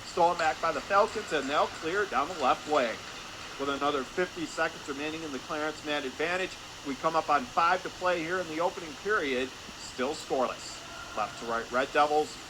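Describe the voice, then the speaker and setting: A man doing radio play-by-play of a hockey game in clipped, rapid-fire phrases. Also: a little high. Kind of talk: radio sports play-by-play commentary. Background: noisy indoor ice rink.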